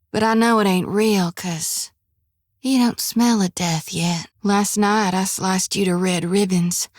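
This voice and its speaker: A young woman voicing a devout, earnest human priestess in a fantasy game, talking in a folksy rural way and at times sinking to a hushed whisper. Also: mid-range voice, no room sound, studio quality. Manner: rural folksy drawl with "reckon" and "ain't". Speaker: companion character in a fantasy role-playing game. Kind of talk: video game voice acting. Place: dry recording booth.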